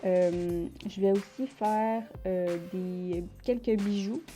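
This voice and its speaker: A woman with a Quebec French accent talks casually about her artwork, pausing often to find the right word. Her voice is very dark and mellow. Her pitch sits in an ordinary middle range. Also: soft background music. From Quebec, Canada. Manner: hesitant, searching for words. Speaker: art channel host. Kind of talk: casual unscripted vlog talk.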